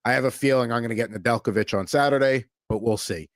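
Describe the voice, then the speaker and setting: A man's voice: medium pitch, fast talker, no echo, studio quality. An American man hosting a hockey podcast in casual chat, rambling with lots of fillers. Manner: rambling, filler-heavy, easygoing. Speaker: podcast host.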